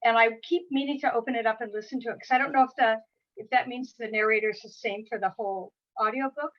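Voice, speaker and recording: An older woman in her sixties chats with a guest's easy, rambling flow, restarting her sentences as she goes. Her voice sits fairly high. The sound is dull and lo-fi, as if coming through a video call. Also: steady tone, fast. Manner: chatty, rambling, with many restarts and laughs. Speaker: guest author. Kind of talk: casual conversation. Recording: dull lo-fi audio.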